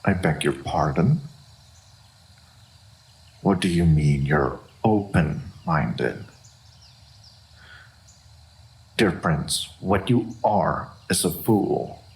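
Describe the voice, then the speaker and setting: Man voicing a very deep, gravelly, aloof dragon. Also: expressive, a little mellow, exaggerated voice. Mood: stern, incredulous. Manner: formal, measured, deliberate, reserved. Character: aloof, solitary, dignified old male dragon of great power. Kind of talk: scripted fantasy roleplay audio.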